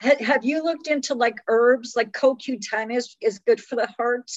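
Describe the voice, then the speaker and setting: A woman's voice: a little high, moderate pace, no room sound. Chatty woman in her late fifties, dull audio. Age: late 50s. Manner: chatty, conversational, peppered with "you know". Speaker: host. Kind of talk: casual health interview chat.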